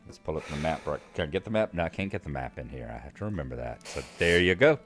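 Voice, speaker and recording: A man with a very deep, hoarse voice from a cold talks through a game in a relaxed, chatty way. He reads quest text aloud between casual remarks. Game music plays faintly underneath. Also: quick pace. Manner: relaxed, chatty, self-deprecating. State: hoarse from a cold, losing his voice. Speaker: let's play host.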